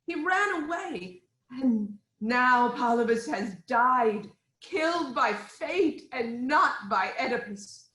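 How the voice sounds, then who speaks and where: Regal queen, slow, highish voice, stately, reassuring, roomy echo, Greek tragedy. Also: lively intonation, sharp tone, exaggerated voice. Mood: startled, urgent. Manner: stately, deliberate, formal. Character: regal queen, anxious wife and mother, firmly reassuring. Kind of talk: dramatic reading of Greek tragedy.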